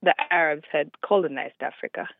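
A woman's voice: sits low, a little fast, vocal fry, no room sound. Ugandan woman caller on a tinny phone. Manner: earnest, laughs lightly, explains patiently.

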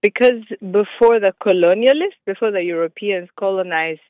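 A woman's voice: mid-range voice, normal speed, no echo. Ugandan woman caller on a tinny phone. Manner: earnest, laughs lightly, explains patiently.

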